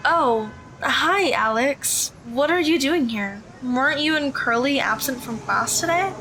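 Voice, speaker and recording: An actress playing a polite, earnest high school girl in an audio drama, with a measured, matter-of-fact delivery. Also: expressive, sharp tone, soft background music, full character voice, rough recording. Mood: reserved, polite.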